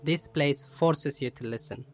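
A man's voice: on the high side, unhurried, faint music behind, lightly acted. A man reciting poetically in a radio play as a weary, wistful working breadwinner.

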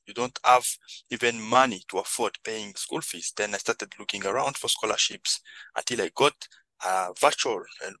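A man's voice: mid-range voice, pushed and bright, dry recording, rough recording. A man with a Congolese accent speaking earnest, non-native English as he gives reflective answers as a podcast guest. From Democratic Republic of Congo. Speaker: podcast guest, social entrepreneur.

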